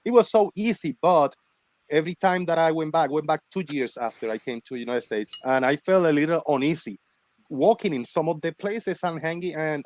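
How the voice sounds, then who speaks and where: A man's voice: on the high side, a little mellow, dry recording. A man in his forties from Puerto Rico telling stories in a casual chat on a live stream. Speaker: stream host.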